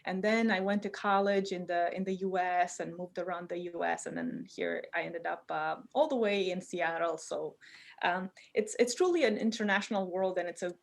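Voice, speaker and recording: A woman's voice: lowish voice, moderate pace, creaky. Woman, thirties, from romania, webinar chemistry lecture, chatty with lots of fillers, video call from home basement. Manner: informal, many fillers and restarts. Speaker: chemistry professor giving a webinar talk.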